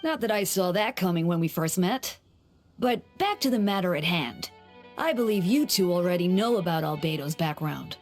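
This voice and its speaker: Woman voicing a lively, teasing young deacon. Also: on the low side, normal speed, lightly acted. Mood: amused, knowing. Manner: playful, teasing, self-assured. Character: youthful, breezy young male church deacon, teasing and confident. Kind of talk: video game character acting. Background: faint background music.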